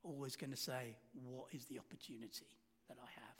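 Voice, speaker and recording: A man preaching a sermon, telling a story and asking the congregation rhetorical questions, his volume swinging from loud to hushed. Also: mid-range voice, steady tone, a little fast, slightly rough. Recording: reverberant hall.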